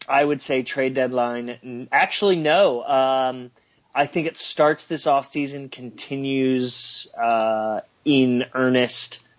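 A man's voice: mid-range voice, slow pace, dull audio. A man co-hosting a casual sports podcast, joking and making bold predictions with frequent stammered restarts. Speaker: podcast co-host.

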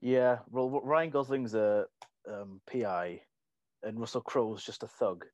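Male co-host, halting delivery, opinionated, dry close mic. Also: mid-range voice, a little mellow, no room sound, lo-fi sound. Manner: hesitant, lots of restarts, opinionated. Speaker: podcast co-host. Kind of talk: casual podcast chat.

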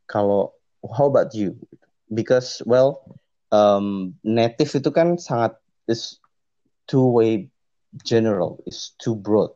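Low mellow man, muffled dry sound. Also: slow pace, long pauses, dry recording. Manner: slangy, mixes Indonesian and English, searching for words. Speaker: podcast co-host. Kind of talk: casual bilingual podcast chat.

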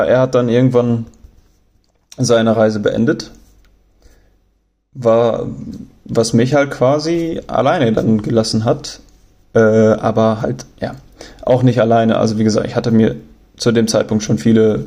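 A young man from Germany talking on his own in a relaxed, rambling way, often pausing with fillers and restarting sentences. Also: mid-range voice. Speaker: podcast host. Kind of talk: casual spoken monologue.

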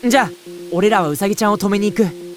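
A woman voicing a cheerful, bossy teenage boy who acts as a big brother, talking in a brisk, joking, scolding way. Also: mid-range voice, faint music behind. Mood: upbeat. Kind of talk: anime-style radio drama acting.

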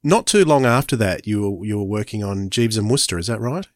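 A man's voice: lowish voice, expressive, brisk, dry recording. Male interviewer in a dry recording. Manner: conversational, prompting with questions. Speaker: podcast host, interviewer. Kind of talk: casual interview chat.

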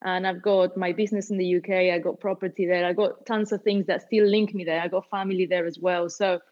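A woman's voice: lowish voice, flat delivery, fairly quick, dull audio. A woman in her forties, originally from Argentina, chatting casually as an interview guest with lots of fillers and restarts. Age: 40s. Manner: warm, rambling with many fillers and restarts. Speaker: guest musician and label owner.

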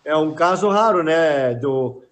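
A man's voice: fairly high pitch, lively intonation, slow. A middle-aged former footballer as a podcast guest, talking in a casual, hesitant way and often repeating words while he thinks. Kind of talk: casual interview chat.

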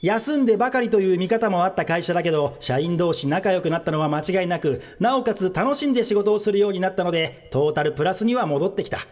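A man's voice: high voice, animated, quick pace, hardly any pauses. An actor playing a wry male narrator in a comedic office radio drama. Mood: reflective.

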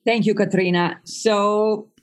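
A woman from northern Italy with an Italian accent, a native Italian speaker, chatting as a psychologist guest on a podcast and often pausing with fillers. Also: mid-range voice, fairly even intonation. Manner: chatty, with frequent fillers and restarts. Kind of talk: podcast interview.

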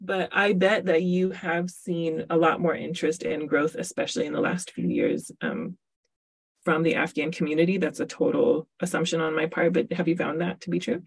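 A woman's voice: sits low, moderate pace, gravelly, husky. A woman hosting a podcast, chatting casually with a guest, with lots of fillers and laughs. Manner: warm chatty, laughs often, many fillers. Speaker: podcast host. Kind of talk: casual interview.

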